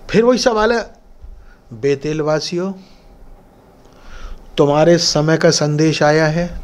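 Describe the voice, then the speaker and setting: A middle-aged man preaching a sermon as pastor, dramatic and expressive, acting out biblical figures, speaking into a stand mic in a church studio. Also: high voice. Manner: dramatic, gesturing broadly, voicing biblical figures. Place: church studio with curtain backdrop, indoors. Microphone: stand mic close in front.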